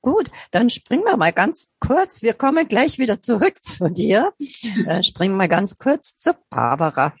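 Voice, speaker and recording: A woman's voice: medium pitch, very animated, measured pace, slightly rough, dry recording, clean studio sound. A woman hosting an interview, asking hesitant questions with many fillers and frequent backchannels.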